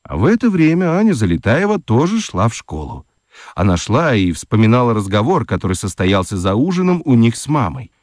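A man narrating a children's radio play with expressive storytelling. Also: mid-range voice, a little fast, dry recording, clean studio sound.

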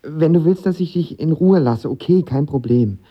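Husky lovestruck young man. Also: a little high, normal speed, highs cut off. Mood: anxious, pleading. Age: young adult. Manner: earnest, confessional. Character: lovestruck, insecure, intense young man of twenty. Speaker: lead actor and narrator. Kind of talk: radio drama, intimate narration and dialogue.

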